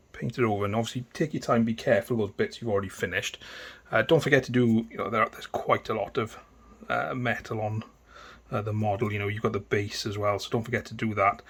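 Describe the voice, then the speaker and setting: A man's voice: fairly low pitch, husky. Easygoing Welsh man teaching painting. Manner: relaxed, chatty, with frequent fillers and restarts. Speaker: miniature painting tutor. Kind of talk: casual step-by-step tutorial narration.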